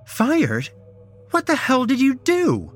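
A man voicing a sharp-tongued, demanding, scornful wife in a dramatized story. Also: very high voice, huge pitch swings, slow pace, faint music behind, voice pushed up, heavily acted. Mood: alarmed, demanding. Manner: scolding, demanding. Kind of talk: dramatized short story reading.

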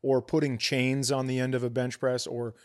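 A man's voice: medium pitch, flat delivery, normal speed. A man co-hosting a comedy podcast, telling stories casually and bluntly, swearing and acting out the exchanges he quotes. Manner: foul-mouthed, blunt, acts out quoted exchanges.

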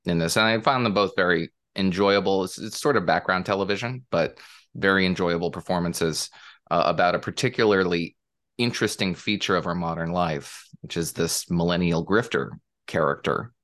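A man chatting casually about television shows, pausing often with fillers and restarts. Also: deep voice, a bit bright. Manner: hesitant, many fillers and restarts. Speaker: panelist. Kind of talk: casual podcast chat about TV.

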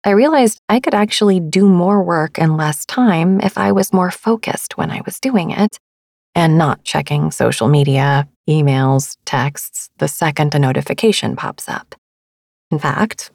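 A woman hosting a sleep meditation podcast in a soft, soothing, hushed voice that slips toward a whisper. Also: deep voice, normal speed, gravelly, dry recording, studio quality. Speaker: podcast host.

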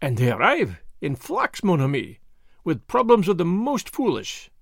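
A male narrator puts on a fussy little Belgian detective with a French accent, pompous and sure of his own genius. The voice sits high and swings freely in pitch. It is recorded close and dry, with no room sound. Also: wildly swooping pitch, unhurried, no echo, exaggerated voice. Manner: pompous, precise, self-satisfied. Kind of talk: audiobook character voice.

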